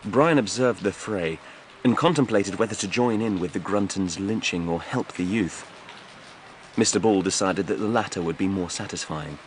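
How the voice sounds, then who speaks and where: A man's voice: low-pitched, normal speed, a little hiss or hum, low-quality recording. A man reading fiction as a dramatic audiobook narrator, from a script.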